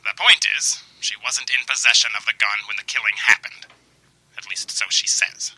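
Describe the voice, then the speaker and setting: A blunt police detective played by a man in a radio mystery, businesslike and raspy. The voice is very tense and bright, recorded close and dry. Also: mid-range voice, steady tone, moderate pace, dry recording, rough recording. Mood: matter-of-fact. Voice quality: husky, raspy. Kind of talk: old time radio mystery acting.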